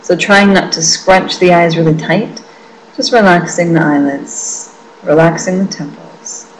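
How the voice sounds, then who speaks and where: Low-pitched woman guiding a meditation. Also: unhurried, slight background noise, poor audio. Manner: gentle, reassuring, permissive, with lingering phrases. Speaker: meditation teacher leading a small group. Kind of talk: guided meditation instruction.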